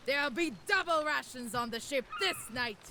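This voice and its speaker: A woman's voice: high voice, normal speed, pushed and bright. An actress playing an exultant pirate queen in a fantasy video game, declaring her lines theatrically. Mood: triumphant.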